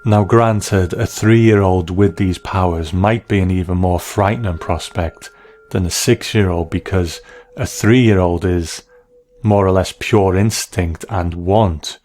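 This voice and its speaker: Very low-voiced man, thoughtful commentary. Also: measured pace, darkish tone. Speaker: podcast host reviewing a TV episode. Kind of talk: analytical commentary, conversational.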